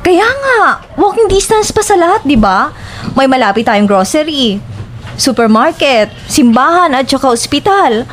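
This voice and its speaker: A woman's voice: high-pitched, sing-song, a little slow, slight background noise. A woman with a Filipino accent acting a sympathetic, questioning young roommate in an audio drama. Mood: puzzled.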